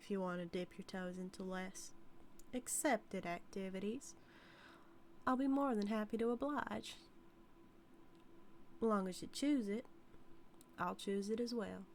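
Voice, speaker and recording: An obsessive outlaw cowgirl, played by a woman, speaks at a middle pitch straight to her listener in an audio drama. She is teasing and dominant, with folksy Western phrasing and a sweetly menacing devotion. Some background noise sits behind her. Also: unhurried, long pauses, lightly acted. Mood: devoted, menacing. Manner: drawling folksy Western talk, teasing, calls listener darling. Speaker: audio roleplay performer.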